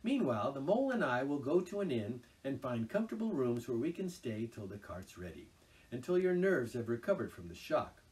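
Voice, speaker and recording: A stern, practical water rat lays down the plan in firm, brisk tones, as a man puts him on. His voice rises and falls with lively expression. The storybook reading sounds a little distant from the microphone. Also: mid-range voice, pitched above the performer's own voice, lightly acted. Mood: exasperated.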